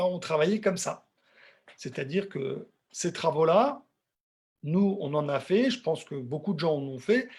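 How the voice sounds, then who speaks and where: Middle-aged man, raspy slightly high voice, informal technical webinar, conversational, emphatic repetition. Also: a little mellow. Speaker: dental technician giving a webinar.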